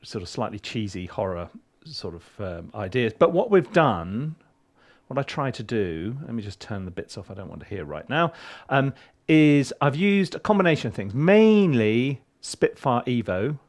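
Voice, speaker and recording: Chatty man with a lively voice. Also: medium pitch, normal speed. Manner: chatty, rambling, many ums and restarts. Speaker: composer teaching film scoring. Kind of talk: casual screen-share walkthrough. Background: music from his session playing faintly.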